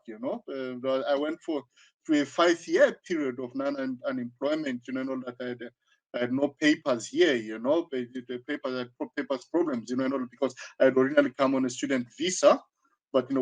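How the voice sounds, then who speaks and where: Male interview guest, 60, southern African accent, voice a little high, halting conversational delivery full of repetitions. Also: poor audio. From Zambia, born in South Africa. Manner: halting, searching delivery with frequent repetitions and 'you know'. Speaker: interview guest, karate teacher.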